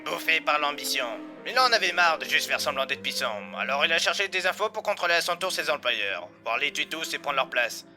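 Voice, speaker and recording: An actor playing a nervous, secretive informant from a criminal organisation, confiding in an audio drama. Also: male voice, on the high side, lively intonation, brisk, few pauses, sharp tone, soft background music.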